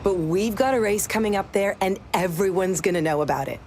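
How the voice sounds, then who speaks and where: Young female daredevil racer voiced by an actress for a game: playful, cocky, medium pitch. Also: a little fast, a little mellow, a little hiss or hum, close to an everyday voice. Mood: playful, eager. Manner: breezy, boastful. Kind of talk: video game cutscene acting.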